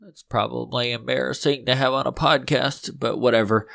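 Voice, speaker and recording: A man's voice: medium pitch, steady tone, moderate pace, vocal fry, fairly bright tone, no room sound, clean studio sound. A man from Texas hosting his podcast, talking in a chatty, friendly way full of restarts, his voice nasal from congestion. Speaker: podcast host. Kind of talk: casual solo podcast talk. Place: Round Rock, Texas area home studio.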